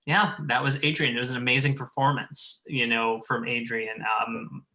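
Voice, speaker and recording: A man's voice: mid-range voice, fairly even intonation, moderate pace, bright, forceful tone, poor audio. A man chatting casually and earnestly in a panel looking back on a project, as its director and writer. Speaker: director, writer and producer of an audio drama. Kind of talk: casual retrospective panel chat.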